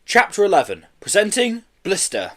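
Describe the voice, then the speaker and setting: Man, children's audiobook narrator, expressive, very varied intonation, slightly high voice. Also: normal speed. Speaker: audiobook reader.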